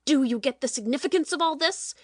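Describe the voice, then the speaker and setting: A woman playing a domineering, cold-blooded TV producer in a dramatic audiobook, speaking in a forceful, pointed way. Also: high-pitched, expressive, fast talker, no echo, clean studio sound. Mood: angry, harsh. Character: hard, calculating TV show producer, domineering.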